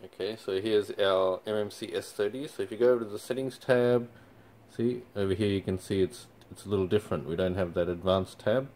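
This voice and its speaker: A man's voice: fairly low pitch, brisk. A young man, casually walking viewers through resetting a device, with plenty of ums along the way. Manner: relaxed, many fillers. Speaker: company product presenter. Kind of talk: casual how-to walkthrough. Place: indoors in front of a TV screen.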